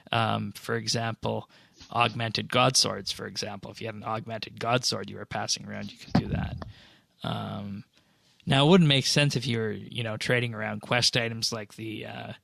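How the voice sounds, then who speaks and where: A man's voice: lowish voice, creaky, a bit bright, dry recording. A man hosting a casual podcast discussion, talking things through as he thinks aloud. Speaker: podcast host.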